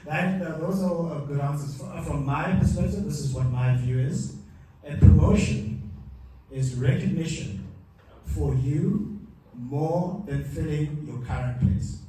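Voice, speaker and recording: A man's voice: a little high, a little slow, mellow, recorded from a distance, slight background noise. A man giving a live motivational masterclass, emphatic and repeating his key lines. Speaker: motivational speaker. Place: large hall with a live audience. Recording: echoey hall mic heard from afar.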